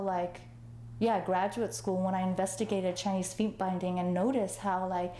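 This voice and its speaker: Interview guest: mellow, filler-heavy woman in a roomy space. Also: medium pitch, slight background noise. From United States, Los Angeles. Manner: chatty, lots of fillers, laughs easily. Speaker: artist guest. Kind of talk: conversational interview.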